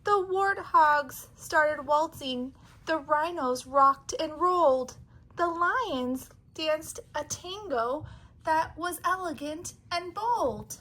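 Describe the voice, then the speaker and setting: A woman's voice: high voice, slow pace, a bit bright, rough recording. A woman reading a rhyming picture book aloud as a storytime host, theatrically and with chatty asides to young listeners.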